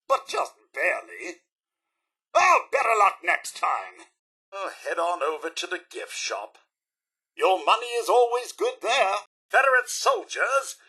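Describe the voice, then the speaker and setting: High, prim British robot butler. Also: male voice, pushed and bright, slightly rough, full character voice. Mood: disappointed, then announcing. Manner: prim, formal, theatrical. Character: courteous, chipper robot butler with theatrical flair. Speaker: robot servant and tour guide. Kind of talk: video game character voice lines.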